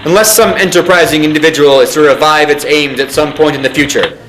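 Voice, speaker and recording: A man's voice: fairly high pitch, fairly bright tone, roomy sound, a little hiss or hum, close to an everyday voice, poor audio. A man playing a solemn military narrator, reading a mock government film in a formal, pompous manner. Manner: formal, pompous, deliberate enunciation. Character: solemn male military briefing narrator, grave and officious. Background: music.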